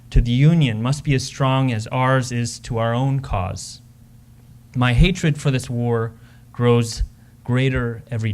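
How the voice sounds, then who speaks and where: Ardent Civil War soldier and husband, played by a man, medium pitch, formal and earnest, staged letter reading. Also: darkish tone, natural voice. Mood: earnest, grave. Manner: earnest, formal, old-fashioned phrasing. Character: devoted male Civil War soldier writing his wife, ardent. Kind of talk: dramatic reading of a love letter.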